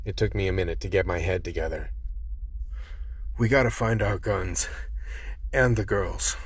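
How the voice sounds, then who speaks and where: A man's voice: deep voice, fairly even intonation, moderate pace, slightly rough, dry recording. An actor playing a world-weary, tough survivor, telling and living his ordeal in plain-spoken hardboiled style in a radio play. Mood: urgent, determined. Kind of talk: radio play narration and dialogue.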